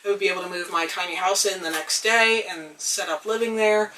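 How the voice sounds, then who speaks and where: A young woman getting over a cold, her voice a little hoarse, talking thoughtfully to the camera with frequent pauses and ums. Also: fairly low pitch, fairly even intonation, sharp tone, recorded from a distance, a little hiss or hum, poor audio. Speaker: vlogger. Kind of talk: reflective casual talk to camera. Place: tiny house.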